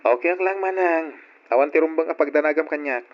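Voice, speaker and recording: An actor playing a patient, burdened grown son who cares for his ailing parents, speaking gently and earnestly in an old-time radio drama style. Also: on the high side, a little fast, close to an everyday voice. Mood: earnest, resigned. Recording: dull lo-fi radio drama recording.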